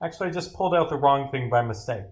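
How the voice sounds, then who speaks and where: A man's voice: medium pitch, expressive, fast talker, natural voice. A man acts out a scatterbrained video game detective. He rambles with cocky confidence, gets sidetracked easily and sounds muddled by his own case. He keeps pushing on regardless. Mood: confused.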